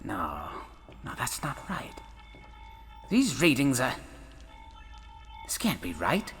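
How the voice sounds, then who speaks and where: A man as an intense, bright-voiced space professor. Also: medium pitch, huge pitch swings, exaggerated voice. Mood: baffled, intrigued. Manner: theatrical, deliberate, emphatic. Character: intense, protective scholarly male professor exploring space. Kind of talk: sci-fi audio drama acting. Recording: long hall reverb, faint music behind.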